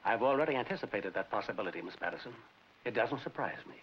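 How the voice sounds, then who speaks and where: A man's voice: on the low side, expressive, quick pace. An actor playing a greedy, smug middle-aged businessman with oily courtesy in a 1940s comedy film. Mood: keen, greedy. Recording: muffled, noisy 1940s film soundtrack.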